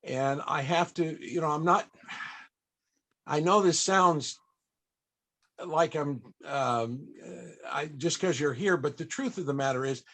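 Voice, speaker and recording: A man with a fairly high voice hosts a panel interview. He speaks conversationally, in halting and effusive phrases that circle before they land. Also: pushed and bright.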